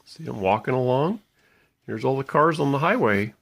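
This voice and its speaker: A man narrates a storybook for small children in a playful way. His voice is husky and gravelly, with lively swings in pitch as he pauses to point things out. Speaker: parent reading a bedtime story aloud.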